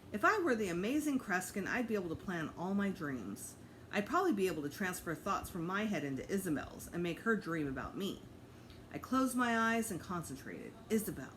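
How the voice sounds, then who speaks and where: A woman teacher reading a children's storybook aloud in an expressive narrating style. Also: mid-range voice, a little hiss or hum, poor audio.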